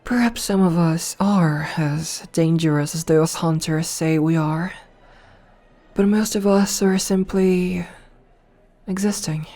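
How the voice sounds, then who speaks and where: A voice actress playing a dominant, flirtatious noble vampire princess in a soft, slow ASMR roleplay, recorded close to the mic. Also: female voice, fairly low pitch, steady tone. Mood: musing. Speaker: voice actor. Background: faint music.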